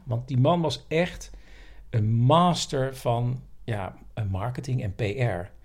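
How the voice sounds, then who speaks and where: A man's voice: medium pitch, slow, a little mellow. A man co-hosting a podcast, telling stories and explaining things in a casual, conversational way. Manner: explanatory, anecdotal. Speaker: podcast co-host.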